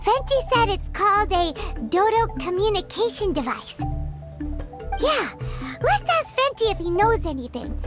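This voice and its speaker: A woman voicing a small, chatty, excitable fairy companion in a video game, with a squeaky childlike voice. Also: measured pace, over music, heavily acted. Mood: eager, chatty. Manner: speaks of self in third person, expressive. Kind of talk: video game character acting.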